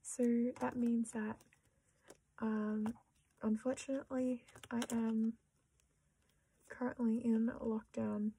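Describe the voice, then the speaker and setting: A young Australian woman chatting quietly to camera while sorting her collection, often dropping into a half-whisper and poking fun at herself. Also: a little high, level tone, slow pace, creaky, long pauses, slight background noise, low-quality recording. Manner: soft-spoken, often half-whispering, self-deprecating. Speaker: collecting vlogger. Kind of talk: casual chat while sorting cards.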